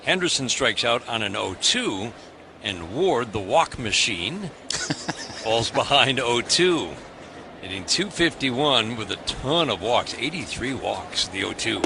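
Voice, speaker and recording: A man's voice: medium pitch, very animated, slow. An American man calling a baseball game live on the radio as the play-by-play announcer. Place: outdoor ballpark broadcast booth. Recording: outdoor stadium ambience, clear background noise. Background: stadium ambience noise.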